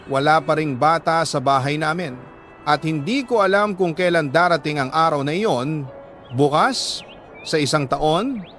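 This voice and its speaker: A man with a Filipino accent narrating listeners' letters as a storyteller, earnest and sentimental in dramatic, deliberate phrases. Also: a little high, fairly bright tone, slight background noise.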